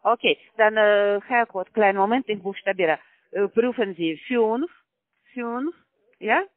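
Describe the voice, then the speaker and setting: A man working as a call-center scammer on a phone call, speaking halting German with non-native grammar and repeating each digit back. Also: high voice, no room sound. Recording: tinny phone line.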